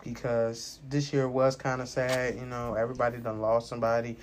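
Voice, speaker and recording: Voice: medium pitch, level tone, brisk, a little hiss or hum. An American podcast host in their late twenties talking casually and personally, rambling, candid and emphatic, with plenty of swearing. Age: late 20s.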